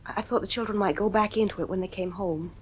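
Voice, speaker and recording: A woman's voice: mid-range voice, steady tone, quick pace, a little hiss or hum, lightly acted, poor audio. A middle-aged woman acting in an audio drama as an anxious, fretful wife and mother, speaking earnestly. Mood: frightened. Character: anxious, unnerved wife and mother in futuristic household.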